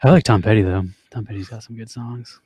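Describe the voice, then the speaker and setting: A young man with a low, raspy voice, chatting loosely and swearing casually as a podcast co-host. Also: brisk, highs cut off, over music. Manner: loose and profane, trails off with fillers. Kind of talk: casual chat with guitar and singing.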